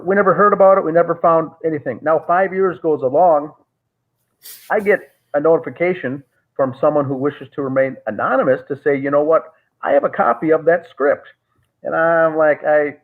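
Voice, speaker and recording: A man talking as an interview guest on a call, telling a long story with many "uh" fillers and restarts. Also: on the high side. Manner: rambling, frequent "uh" fillers and restarts. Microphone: call audio. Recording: tinny phone-like call audio.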